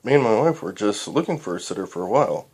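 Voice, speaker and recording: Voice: mid-range voice, expressive, fast, a little mellow, breathy edge. A performer voicing a polite, slightly flustered adult male pony father in a mild, hesitant way for a story.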